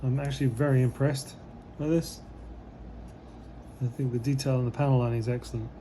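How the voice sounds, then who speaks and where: Slightly hoarse man unboxing a kit. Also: medium pitch, brisk, a little hiss or hum. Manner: relaxed and rambling, many fillers. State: slightly hoarse, losing his voice. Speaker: model kit reviewer. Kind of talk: casual unboxing commentary.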